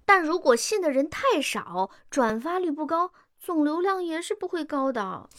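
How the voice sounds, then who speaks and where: A woman's voice: sits high, sing-song, normal speed, fairly bright tone, no echo, studio quality. A woman playing a timid, earnest, educated employee in a radio drama, hesitant and deferential as she speaks up. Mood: earnest, objecting. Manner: hesitant, deferential, meekly objecting.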